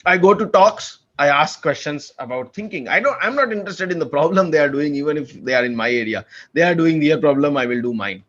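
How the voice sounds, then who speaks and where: A man's voice: on the high side, animated, fairly quick, hardly any pauses, fairly bright tone, highs cut off. A young man from India, a PhD student chatting as a podcast guest in an earnest, enthusiastic way, often restarting his sentences. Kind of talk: casual conversational interview.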